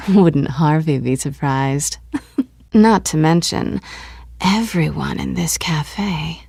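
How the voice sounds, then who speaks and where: Sly, low-voiced, creaky cat burglar. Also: female voice, takes it slowly, darkish tone, dry recording, studio quality, full character voice. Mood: sly, amused. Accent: American. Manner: teasing, sardonic. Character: sly, self-assured female cat burglar, flirtatious and cynical. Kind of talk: video game voice acting.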